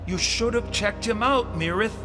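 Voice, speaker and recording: An actor in an audio drama playing a hot-headed, suspicious rebel fighter, combative and accusing. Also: male voice, high voice, normal speed, over music, natural voice. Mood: angry, accusing.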